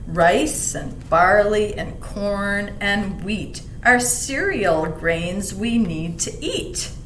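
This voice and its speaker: A woman reading aloud and explaining to children in a gentle, teacherly manner, stressing key words. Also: animated, extremely slow. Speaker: library story reader. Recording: roomy echo, faint rumbling background noise.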